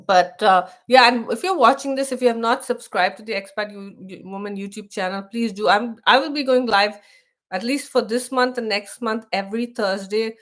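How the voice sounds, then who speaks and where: A woman's voice: on the high side, normal speed, a bit bright. A middle-aged woman from India with an Indian accent, hosting a casual live stream for expats, chatty, often restarting her sentences and reading text aloud.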